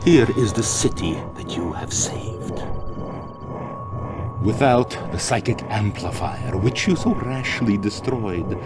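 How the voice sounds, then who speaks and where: An actor playing a menacing, theatrical Soviet general in a video game, pompous and declamatory. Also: male voice, fairly low pitch, lively intonation, slow pace. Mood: gloating. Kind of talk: video game villain monologue. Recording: music behind the voice.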